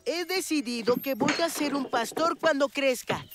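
High-pitched eager, cocky schoolboy. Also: female voice, normal speed, over music. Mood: eager, confident. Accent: Latin American Spanish. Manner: boastful, insistent. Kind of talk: animated children's series dubbing.

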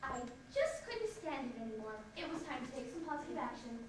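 A young woman acts a determined, insistent bride in an amateur stage comedy. Her voice is very high and lively. It sounds distant in a noisy, echoing theatre. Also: brisk. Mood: earnest, resolute. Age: young adult. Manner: emphatic, insistent. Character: determined, impatient bride desperate to wed a stranger. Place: theatre stage, camera far off. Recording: distant, echoey, noisy camcorder stage recording.

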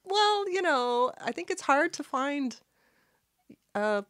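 A woman in her thirties from Canada, whose first language is Arabic, chatting as a podcast guest in a small studio on a boom mic, laughing often. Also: big pitch swings, takes it slowly, fairly bright tone, no echo. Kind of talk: casual interview chat. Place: small studio with red curtains. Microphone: studio mic on boom arm.

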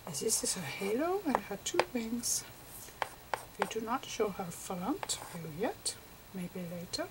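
A woman voicing Einstein as a gentle, eccentric old physicist in a whispery, halting ASMR lecture with repetitive professorial asides. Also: mid-range voice, big pitch swings, heavy background noise, voice pushed up. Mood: hushed, explanatory.

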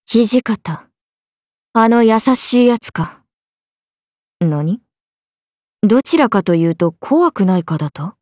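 A woman's voice: medium pitch, lively intonation, slow, pauses often, darkish tone, dry recording, clean studio sound. A woman voicing a young swordswoman character in a video game. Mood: calm, blunt. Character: swordswomen: a lively young one and her stoic alter self.

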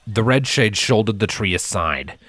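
A man's voice: fairly low pitch, expressive, normal speed, a bit bright, dry recording. A man reading scripted fantasy fiction as a storybook narrator. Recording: music bed behind narration.

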